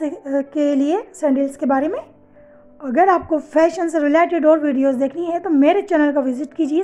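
A woman's voice: high-pitched, a little mellow. A woman, hosting a fashion channel in a casual, chatty voice-over that addresses her viewers as sisters and mothers. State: sore throat. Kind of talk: casual voice-over for a slideshow of products.

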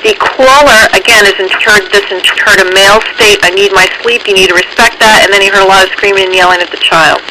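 A man's voice: high voice, fast. A man working as a police dispatcher, giving procedural, clipped bulletins over police radio. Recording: noisy police scanner radio audio.

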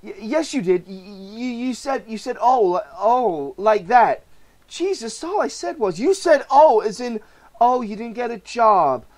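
A man's voice: extremely high pitch, expressive, a little slow, a little mellow, voice pushed up. A man voicing a distraught, self-pitying young husband in a comic book reading, stammering and pleading. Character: anguished, self-pitying failed male comedian husband.

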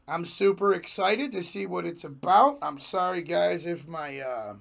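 A young man hosting a reaction video in casual slang, his voice hoarse, talking at a small home studio desk mic. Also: high-pitched, measured pace, a bit bright. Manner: slangy, laid-back, laughs often. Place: small home studio room with jerseys on wall. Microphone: large desk microphone in front.

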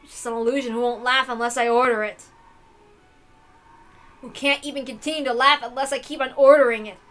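A brooding young game master, acted by a woman, earnest and bitter in a dramatic visual novel reading. The voice is fairly high for the part, with faint music underneath. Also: steady tone, a little fast, a bit bright. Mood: bitter despair.

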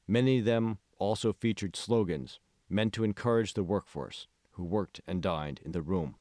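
A man's voice: on the low side, moderate pace, dark tone, no echo, studio quality. A man reading scripted audiobook narration as the author of the book.

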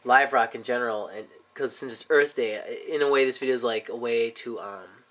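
Man with a cold, halting speech. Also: mid-range voice, a bit bright, slight background noise. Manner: hesitant, many ums and restarts. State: sick with a cold. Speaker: aquarium hobbyist vlogger. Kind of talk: casual show-and-tell vlog. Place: indoors at home.

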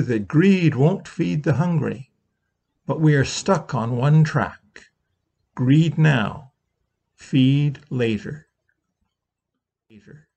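A man in his sixties, a minister, reading a slow, reflective Easter meditation from his living room over a webcam, with long pauses. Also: on the high side, creaky, mellow, breathy edge.

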